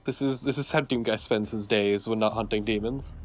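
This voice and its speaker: A man's voice: lowish voice, steady tone, a little fast. A man chatting casually as a let's play co-host, informal and dryly sarcastic. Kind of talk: casual gameplay commentary. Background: game music.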